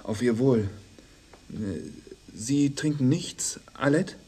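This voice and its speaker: A man's voice: mid-range voice, expressive, slow, warm tone, slightly rough, full character voice. An actor playing a polite, puzzled young policeman in a naturalistic radio drama. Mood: polite, curious. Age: young adult.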